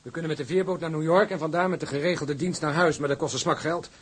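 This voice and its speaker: High, husky ship's officer. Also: male voice, fairly even intonation, quick pace. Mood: practical, keen. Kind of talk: radio drama acting.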